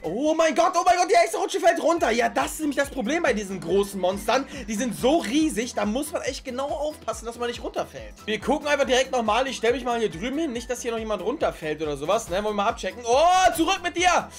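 A gaming video host giving excited gameplay commentary, exclaiming often and talking directly to the viewers. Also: mid-range voice, quick pace, hardly any pauses, soft background music.

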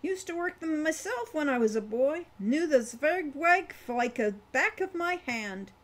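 A man's voice: extremely high pitch, lively intonation, unhurried, fairly bright tone, pitched above the performer's own voice, exaggerated voice. A woman voicing a gloomy, folksy old male dwarf who rambles and grumbles, in a storybook reading. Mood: rambling, folksy.

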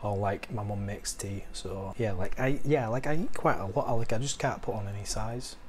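A bashful teenage lad, as a man plays his younger self stumbles through his answers, full of ums and likes. The voice sits low and unhurried. He is recorded on a lapel mic in a living room, with faint household noise behind him. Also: a little mellow, lightly acted. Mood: shy. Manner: hesitant, filler-heavy, lots of "like" and "um".

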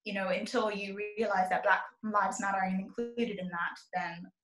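A woman's voice: mid-range voice, fairly even intonation, normal speed, roomy sound, rough recording. A young woman from Zimbabwe speaking earnestly as a panelist on a video call, with frequent restarts. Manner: earnest, thoughtful, many restarts.

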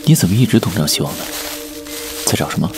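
Radio drama villain type: calm, teasing man with a low, expressive voice, acted by a man. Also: vocal fry, over music, heavily acted. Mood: cool menace. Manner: amused, unhurried, softly taunting. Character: calm, teasing, faintly menacing male captor.

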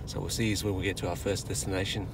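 A man in his forties chatting casually and haltingly to camera as a travel vlogger. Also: low voice, little pitch movement, creaky. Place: mostly inside a car, sometimes outdoors. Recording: very noisy car and outdoor ambience.

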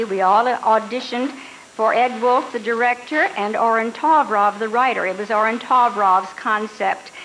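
An older woman recalls her radio years in a relaxed, chatty way at a stage stand mic. Her voice sits fairly high, with faint room noise behind it in a ballroom. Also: rough recording. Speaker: former radio actress guest. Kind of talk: casual reminiscing talk.

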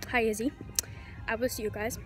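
A woman's voice: a little high, steady tone, a little fast, loud music behind the voice. A young woman with an American accent chatting casually to viewers as a vlogger. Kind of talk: casual vlog chat to viewers. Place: bedroom, kitchen, car and outdoors at night. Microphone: phone or device held at arm's length.

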